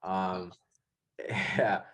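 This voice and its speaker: Young male host born in Vietnam, low-pitched, easygoing and talkative. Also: flat delivery, extremely slow, a bit bright. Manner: easygoing, chatty, self-mocking. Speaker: podcast host. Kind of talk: casual chat.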